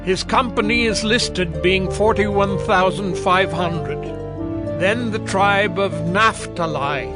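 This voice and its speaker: A man's voice: sits high, slow pace. A man giving a formal, declamatory reading of scripture from a script. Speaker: narrator. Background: prominent music.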